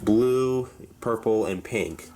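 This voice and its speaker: A man with a somewhat low-pitched voice talks through a hobby collection. His delivery is casual and informal, wandering through explanations like someone chatting to viewers. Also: takes it slowly, heavy background noise. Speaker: hobbyist presenting a radio collection. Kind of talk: casual collection tour commentary.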